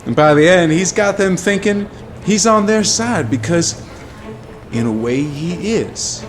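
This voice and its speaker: An actor playing an admiring commentator giving an explanatory interview soundbite in a mock news documentary. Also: male voice, high voice, lively intonation, a little slow, faint music behind.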